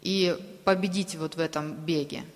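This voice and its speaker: A woman interpreting a sermon aloud, delivering it phrase by phrase in a halting way. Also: deep voice, fairly even intonation. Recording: long reverberant hall sound.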